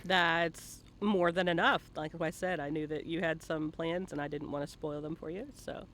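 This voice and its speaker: A man with a notably high-pitched, very bright voice hosts a casual live interview. He speaks informally and slips into playful teasing as he moves through his questions. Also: fairly even intonation, moderate pace, a little hiss or hum. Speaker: interviewer and stream host.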